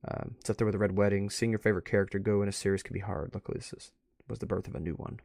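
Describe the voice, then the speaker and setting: A man's voice: on the low side, steady tone, very fast, gravelly, a little mellow. A man giving casual, off-the-cuff commentary as a stream host, rambling and musing aloud. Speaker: host of a live art review stream.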